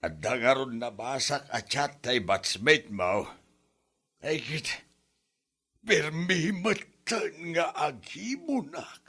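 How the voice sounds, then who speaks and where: A man's voice: fairly high pitch, animated, slow, sharp tone, breathy edge, exaggerated voice. A man playing a jealous, whiny, blustering married father in an Ilocano comedy drama, exclaiming and stammering when flustered. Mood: exasperated. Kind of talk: comedy radio-style drama acting.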